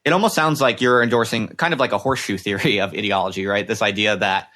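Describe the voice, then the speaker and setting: A man's voice: lowish voice, fast, pushed and bright. A man hosting a conversational interview, earnestly working through long, wordy, reflective questions. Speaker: interviewer, podcast host.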